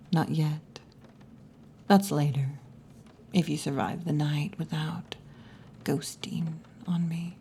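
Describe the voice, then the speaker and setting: Hushed, low-voiced wolf girl bartender, acted by a woman. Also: exaggerated voice. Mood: teasing, low-key. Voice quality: breathy, mostly whispered or half-whispered. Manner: slow, hushed, teasing, with long pauses. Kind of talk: ASMR roleplay, intimate scripted monologue. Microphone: close ASMR microphone.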